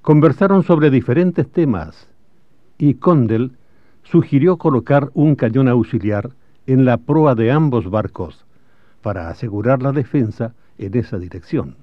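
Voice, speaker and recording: Measured male storyteller on muffled recording. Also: mid-range voice, dry recording. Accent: Chilean. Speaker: radio drama narrator. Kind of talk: scripted dramatic historical narration. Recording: dull old radio drama recording.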